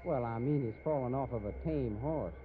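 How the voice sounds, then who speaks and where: An actor playing a cocky, callous American cowboy gambler in a 1950s radio drama, with a brash, slangy western drawl. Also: male voice, medium pitch, moderate pace, natural voice. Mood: cocky, evasive. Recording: noisy, narrow-band old radio recording.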